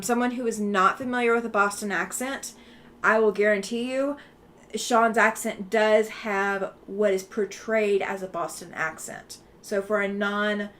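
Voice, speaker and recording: A woman's voice: medium pitch, a bit bright, a little hiss or hum. A woman from the Southeastern US with a faint Southeastern accent, hosting a casual livestream and chatting back to viewer comments. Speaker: livestream host.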